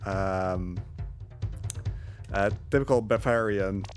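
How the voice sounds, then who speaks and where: Man, lowish voice, casual gaming commentary, halting and rambling, clear game music behind. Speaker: speedrunner.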